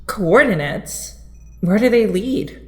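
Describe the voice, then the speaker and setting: A woman's voice: medium pitch, animated, takes it slowly, soft background music. An actress playing a level-headed female member of a superhero team in an audio drama. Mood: measured.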